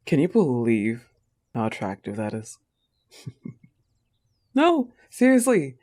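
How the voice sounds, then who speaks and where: A man voicing a possessive but tender young goth wolf girlfriend, soft-spoken, in an intimate audio roleplay. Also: mid-range voice, wildly swooping pitch, slow pace, heavily acted. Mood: proud, tender.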